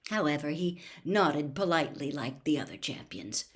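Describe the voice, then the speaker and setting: Expressive woman narrator with lively pitch. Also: moderate pace. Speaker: storybook narrator. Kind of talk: expressive audiobook-style reading.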